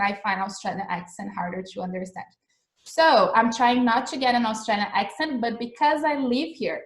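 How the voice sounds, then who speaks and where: A young Brazilian woman teaching English over a video call, her slightly high voice friendly and talkative. She keeps checking in with her listeners as she explains. The sound is roomy, with a noticeable echo. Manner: chatty and encouraging, with frequent "okay?" checks. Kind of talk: livestreamed English lesson. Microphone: video call recording.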